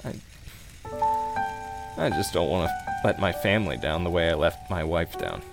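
A man playing a grieving widower and recovering alcoholic in a radio play, stammering and halting with emotion. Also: sits low, expressive, gravelly, music in the background. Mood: regretful, grieving.

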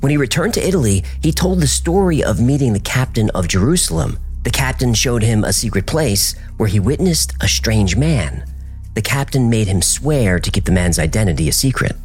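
A man narrating a scripted documentary, telling the story with a dramatic delivery and wide swings in pitch. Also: lively intonation, normal speed, darkish tone, soft background music.

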